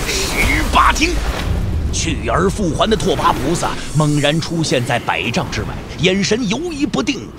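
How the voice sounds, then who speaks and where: A man with a slightly high, very animated voice narrates a martial arts audio drama. His delivery is emphatic and suspenseful, in the manner of dramatic scripted storytelling. Music plays behind him. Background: background music.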